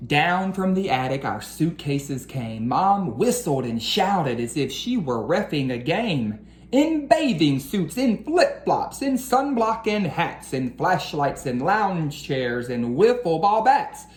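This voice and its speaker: A woman with a low voice reads a picture book aloud to children at an unhurried pace. Her delivery is expressive and lilting, leaning into the rhymes. The room adds a noticeable echo. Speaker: educator reading aloud to children. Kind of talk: storybook read-aloud for children. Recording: roomy echo with faint background noise.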